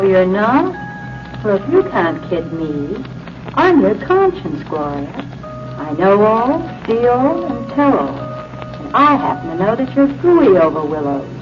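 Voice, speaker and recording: A low female voice plays a mocking, nagging conscience in an old radio drama. She needles with sardonic bite over prominent music, in dull vintage broadcast sound. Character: nagging, mocking inner conscience of a young woman. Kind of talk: 1940s radio acting.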